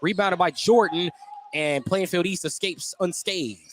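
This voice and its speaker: A man's voice: sits high, measured pace, a bit bright, a little hiss or hum. A man doing live play-by-play basketball commentary as a radio announcer.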